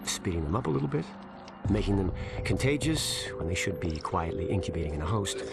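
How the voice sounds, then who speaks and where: An actor playing a calm, fanatical scientist villain in a video game, musing in a condescending, self-satisfied monologue. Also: male voice, low-pitched, fairly quick, gravelly, very noisy, exaggerated voice. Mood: sly, musing. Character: smug, calm, fanatical male scientist villain justifying mass death. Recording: loud music under the voice.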